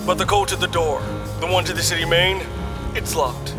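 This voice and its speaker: A man's voice: sits high, fairly even intonation, moderate pace, loud music behind the voice, lightly acted. A man playing a defiant, reckless rebel field agent with an urgent, intense delivery in a sci-fi radio drama.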